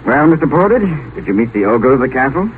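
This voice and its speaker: An actor playing a mild middle-aged idealist in a 1950s radio drama, musing with gentle irony and small hesitations. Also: male voice, medium pitch, sing-song, fast talker, close to an everyday voice. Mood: wry, musing. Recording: tinny noisy old radio broadcast.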